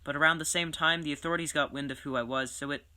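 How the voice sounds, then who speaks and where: A woman playing a tired young Slight in hiding who speaks softly and tentatively, often sighing. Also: deep voice, fairly even intonation, fast. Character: weary young Slight on the run. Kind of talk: amateur audio drama acting.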